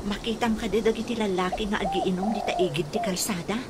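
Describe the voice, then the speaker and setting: A woman's voice: medium pitch, a little fast, heavy background noise, close to an everyday voice. An actress playing a chatty, exclamatory village woman in an Ilocano radio comedy drama. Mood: chatty, teasing. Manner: exclamatory, expressive.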